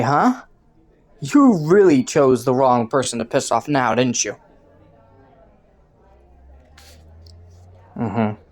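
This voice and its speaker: A woman's voice: extremely low pitch, heavily acted. A woman playing a flirty, taunting underworld criminal in a roleplay audio, with a playful, teasing drawl. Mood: smug. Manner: playful, teasing drawl with frequent soft chuckles.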